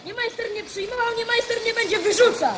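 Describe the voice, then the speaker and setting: A woman's voice: very high-pitched, a little fast, crowd noise, background noise. A woman playing a cheeky, hot-headed working boy in a radio drama. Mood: defiant, insolent.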